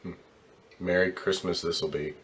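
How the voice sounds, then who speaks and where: Male actor as heartbroken ambulance driver, halting and stammering, lowish pitch, forceful bright tone, weary, plain-spoken, radio play. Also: fairly even intonation, rough recording. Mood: wistful, bitter. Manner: halting, stammering, repeating words. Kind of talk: radio drama acting.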